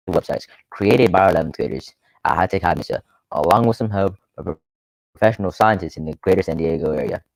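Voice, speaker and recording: A man serves as announcer for a student radio show. He reads from a script in a low voice with very little room sound. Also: gravelly, darkish tone, dry recording, rough recording.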